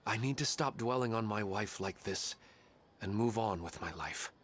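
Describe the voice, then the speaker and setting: A man's voice: lowish voice, normal speed, a bit bright, slight background noise, natural voice. A man playing a grieving widowed father in a video game, polite, reflective and hesitant. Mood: wistful, reflective.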